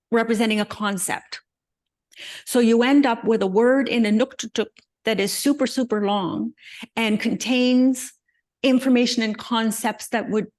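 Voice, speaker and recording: Older woman giving a relaxed slide talk. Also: on the high side. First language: English. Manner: relaxed, conversational, frequent "um" and asides. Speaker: guest lecturer.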